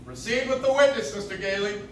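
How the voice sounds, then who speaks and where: Male actor as official, impatient judge, live radio drama. High voice, noisy room. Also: fairly quick, close to an everyday voice. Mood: stern, formal. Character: formal, impatient courtroom judge. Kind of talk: old-time radio play acting on stage. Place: live stage in a coffee house. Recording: very echoey, distant, noisy audience recording.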